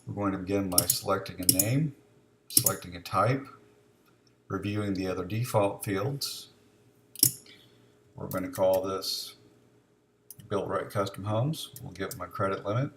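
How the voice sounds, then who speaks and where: A man with a low voice talks through a software screencast one step at a time. His delivery is informal and instructional. The recording is clearly noisy, with keyboard typing and mouse clicks behind him. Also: unhurried, long pauses. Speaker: software demonstrator. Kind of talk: casual step-by-step screencast walkthrough. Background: noise with keyboard typing and mouse clicks.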